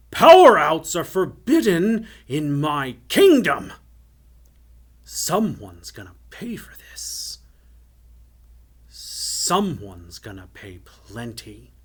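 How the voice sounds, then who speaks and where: A man's voice: on the low side, husky, exaggerated voice. A man voicing a sinister, menacing fire wizard tyrant in a theatrical storybook villain style, drawing out words. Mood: menacing whisper.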